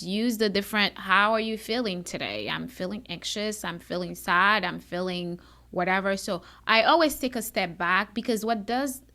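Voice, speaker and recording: A woman from Haiti hosting a podcast, talking candidly in a chatty, bubbly, conversational way. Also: medium pitch. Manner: animated, chatty, self-described bubbly. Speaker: podcast host.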